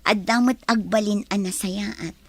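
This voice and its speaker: A woman's voice: medium pitch, a little slow, lightly acted. A woman with an Ilocano accent playing a lovelorn woman confiding in a friend in a radio drama. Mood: wistful.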